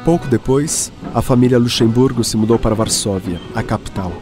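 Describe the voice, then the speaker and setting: A man with a Brazilian accent giving measured, scripted narration for a radio drama. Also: mid-range voice. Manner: measured storytelling. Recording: music clearly behind voice.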